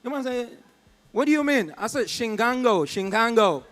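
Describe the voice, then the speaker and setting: A Nigerian man with a high voice performs stand-up on stage. He tells a story and slips into short put-on voices for each person in it, with loud, punchy exclamations. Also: expressive. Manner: acts out callers and agents in quick put-on voices, loud exclamations. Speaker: stand-up comedian. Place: comedy club stage.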